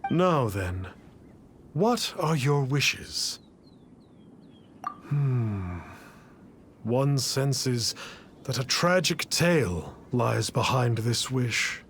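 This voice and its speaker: A man's voice: mid-range voice, animated, very slow, pauses often, a little hiss or hum. A man playing a smug charlatan posing as a lofty immortal master in an English game dub, speaking in cryptic, archaic, formal phrases. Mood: pompous, mysterious. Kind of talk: English video game voice acting.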